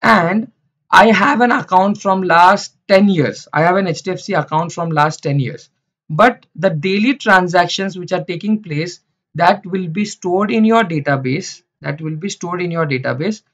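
A man's voice: high voice, fairly bright tone. A man teaching beginners over slides, repeating phrases with many fillers and restarts. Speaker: instructor. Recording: dull lo-fi sound.

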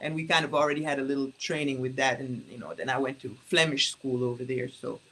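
Woman from Congo, native French speaker, bright, lively storyteller on a video call. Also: very deep voice, quick pace, poor audio. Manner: lively, laughs often, tells long stories. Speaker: interview guest, singer. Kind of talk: casual video call interview. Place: home room indoors.

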